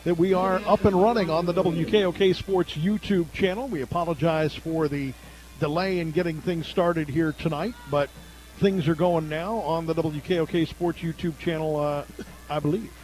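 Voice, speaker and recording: A man works as a radio play-by-play announcer, calling a football game live. His voice sits high for a man as he follows the action down by down. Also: fairly even intonation, normal speed, a little mellow, low-quality recording.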